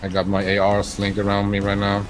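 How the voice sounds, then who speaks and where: A man's voice: on the low side, monotone, brisk, over music. A man chatting casually in character as a police officer in an online role-play game.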